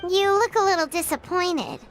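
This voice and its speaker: A woman voicing a small, curious, chirpy fairy companion in a video game, with a high, bouncy voice. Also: moderate pace, low-quality recording. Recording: game audio with loud music underneath.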